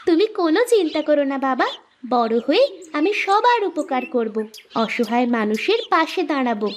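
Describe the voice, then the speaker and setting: A woman's voice: high-pitched, big pitch swings, normal speed, slight background noise. A woman voicing a sweet, earnest young schoolgirl in a cartoon story, with an eager, childlike way of talking.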